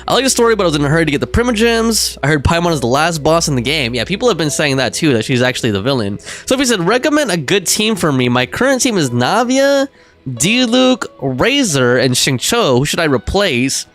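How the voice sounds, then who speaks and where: A man's voice: on the high side, very animated, brisk, fairly bright tone, faint music behind. A man livestreaming a game, chatting casually with viewers and reading their messages aloud.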